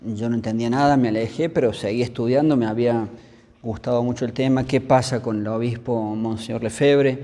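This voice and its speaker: Male interviewee, fifties, Argentine priest. Soft-edged, mellow tone, measured and pausing delivery, reverberant room. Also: mid-range voice, steady tone. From Córdoba, Argentina. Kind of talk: conversational storytelling in an interview. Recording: long reverberant hall.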